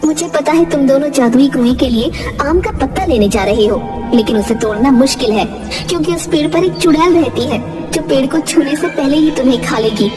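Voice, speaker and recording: A woman's voice: high-pitched, moderate pace, long reverb tail, lightly acted. A woman voicing a forest fairy in a children's cartoon, theatrical and emphatic, with music behind. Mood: stern, testing. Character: female forest fairy, stern tester turned kindly guide. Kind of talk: children's cartoon story dub. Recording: music under the voice.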